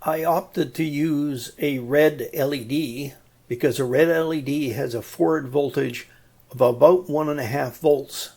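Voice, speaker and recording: Slow-paced, creaky-voiced man explaining electronics. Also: fairly high pitch, fairly even intonation, a little mellow. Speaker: presenter of an electronics tutorial. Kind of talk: explanatory technical narration.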